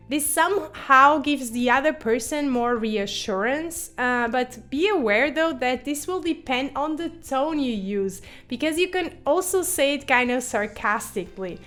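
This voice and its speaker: A woman's voice: high voice, a bit bright. A Portuguese woman teaching her language in English, explaining patiently and repeating example phrases. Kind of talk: online language lesson.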